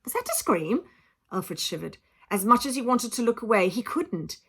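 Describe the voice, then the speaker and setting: A woman reading a children's book aloud in an expressive, dramatic, emphatic style, like a teacher telling a story to a class. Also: a little high, moderate pace, husky. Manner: dramatic, emphatic, acts out sound effects. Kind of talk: expressive children's audiobook narration.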